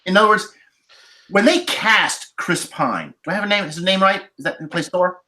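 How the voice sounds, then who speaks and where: A man in a casual roundtable discussion, laying out his points as a podcast panelist in deliberate, repeated phrases. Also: sits high, sharp tone. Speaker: podcast panelist reviewing films.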